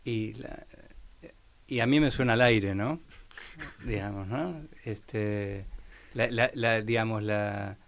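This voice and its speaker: A man's voice: on the low side, slow pace, long pauses. A man co-hosting a casual, reflective radio chat, hesitant and often seeking agreement. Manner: hesitant, keeps checking with tag questions. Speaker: radio show co-host.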